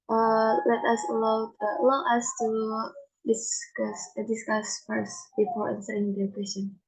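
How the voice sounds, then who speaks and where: A young woman with an Indonesian accent hosts a class presentation. Her voice sits a little high and has a husky, breathy grain. She speaks haltingly, as a student moderator does. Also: monotone, low-quality recording. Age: young adult.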